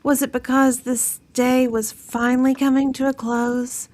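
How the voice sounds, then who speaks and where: A woman reading a novel aloud in a drawn-out, melodramatic way. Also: high voice, level tone, a little mellow. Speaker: story reader.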